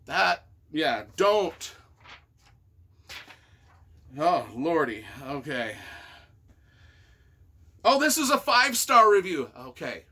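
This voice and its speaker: A man reading reviews aloud and reacting casually in an expressive, wry, self-deprecating way. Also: a little high, slow pace, pauses often. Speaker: author and YouTuber.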